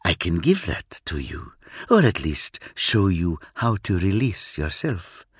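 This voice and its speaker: A man voicing a cryptic male alien seer in an audiobook, speaking low, hushed and measured with calm superiority. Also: breathy edge, no room sound, clean studio sound, heavily acted. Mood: cool, probing. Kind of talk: audiobook reading.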